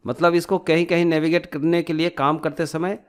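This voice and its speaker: A man with a fairly high-pitched voice narrates an informal screen tutorial. He explains each step as an instructor would, often adding small tag questions to check that the learner follows. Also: little pitch movement, fairly quick, clear, resonant tone.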